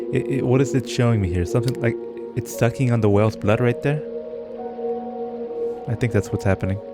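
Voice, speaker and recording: A man's voice: mid-range voice, moderate pace, long pauses, loud music behind the voice. A man giving casual reaction commentary as he plays a game, curious and thinking aloud.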